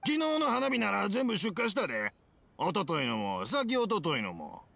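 A man's voice: high-pitched, very animated, gravelly, a bit bright, no echo, full character voice. A man voicing a genial, hard-of-hearing old fireworks craftsman with a Kansai accent, hearty and rambling, in an anime game. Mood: puzzled. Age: older. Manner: hearty, rambling, slow to catch on.

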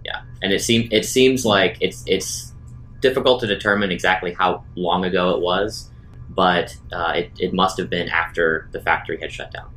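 A man improvising as a player in a tabletop role-playing game, talking casually in a measured, halting way. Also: sits low, fairly even intonation, bright, forceful tone, soft background music, rough recording.

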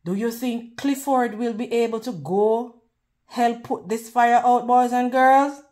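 A woman reading a picture book aloud to children in a deliberate, teacherly way, pausing to put questions to them. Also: a little high, steady tone, darkish tone. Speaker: children's read-aloud host.

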